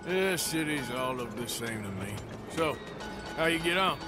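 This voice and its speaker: An actor playing a gruff middle-aged outlaw cowboy who talks in a blunt, laconic way. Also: male voice, on the high side, animated, moderate pace, music in the background, natural voice.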